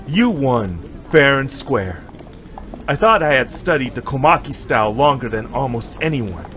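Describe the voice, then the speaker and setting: A man playing an eager, good-natured fast-food worker and retired martial arts pupil in an amateur anime-style drama, excitable and boastful but respectful. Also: mid-range voice, a little hiss or hum, lightly acted.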